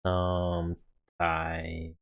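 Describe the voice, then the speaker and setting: Slow, deep-voiced Romanian-accented man. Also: monotone, gravelly, highs cut off. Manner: chatty, laughs often, thinks aloud with fillers. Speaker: live-streaming coding host. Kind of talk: casual live stream chat reading viewer comments.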